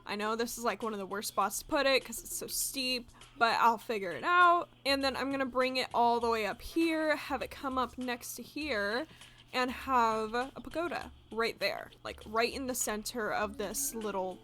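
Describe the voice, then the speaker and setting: A young woman with a congested voice, chatting casually and excitedly as she builds in a video game. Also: on the high side, moderate pace. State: congested from allergies. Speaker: gaming video creator. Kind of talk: casual gameplay commentary. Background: soft game music.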